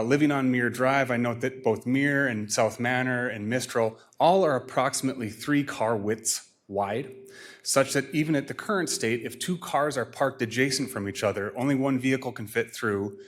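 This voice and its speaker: A man giving a measured, formal and polite public comment as a neighbor, speaking into a podium microphone in a council chamber. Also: medium pitch. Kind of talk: public comment at a city meeting.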